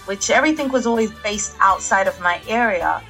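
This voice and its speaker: Bright-voiced woman singer. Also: mid-range voice, expressive, a little fast, faint music behind, rough recording. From England. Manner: chatty, laughs often, many fillers and restarts. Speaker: singer, interview guest. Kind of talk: casual interview chat.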